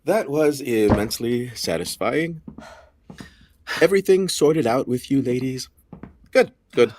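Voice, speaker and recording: An actor playing an unflappable, smug 1920s lawyer with an officious, pedantic manner and slightly husky voice in an audio drama. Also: male voice, medium pitch, darkish tone, slight background noise. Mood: self-satisfied, jovial. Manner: theatrical, officious, dryly pedantic. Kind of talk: period audio drama acting.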